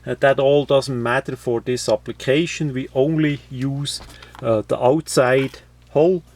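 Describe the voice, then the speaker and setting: A man presenting a how-to, explaining step by step in an unscripted, halting way with frequent pauses. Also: medium pitch, fairly even intonation, a little mellow, a little hiss or hum. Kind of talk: unscripted step-by-step tutorial talk.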